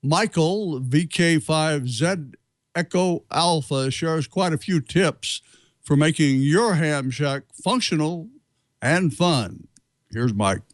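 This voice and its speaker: A man presents video segments as a show host in a fairly high voice. He talks slowly and casually, in a very dry, close sound. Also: expressive, no echo.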